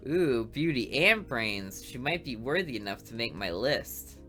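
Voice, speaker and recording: Low-voiced woman joking through a game. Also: big pitch swings, measured pace, a bit bright, faint music behind. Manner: joking, off-the-cuff asides. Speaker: gameplay video host. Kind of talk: reading a visual novel aloud with commentary.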